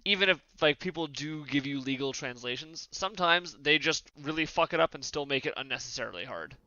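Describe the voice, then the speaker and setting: Bright, clear-voiced man, blunt and opinionated. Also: fairly high pitch, expressive, brisk, no room sound, muffled recording. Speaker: podcast panelist. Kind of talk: casual panel discussion.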